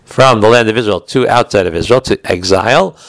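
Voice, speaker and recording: A man's voice: on the low side, brisk, dry recording. A man giving an informal religious law class, explaining texts in a digressive way, often rephrasing and posing questions to himself.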